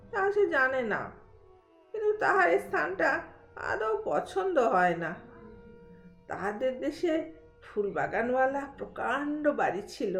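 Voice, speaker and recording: A woman narrating a literary audio story in an expressive storytelling style. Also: sits high. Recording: roomy echo under soft music. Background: soft background music.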